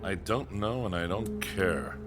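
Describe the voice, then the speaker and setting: An actor playing a pompous, irritable scientist, haughty and complaining in a theatrical vintage comedy style. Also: male voice, low-pitched, a little slow, music in the background. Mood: grumpy, disgusted. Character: pompous, irritable male scientist, perpetually dissatisfied.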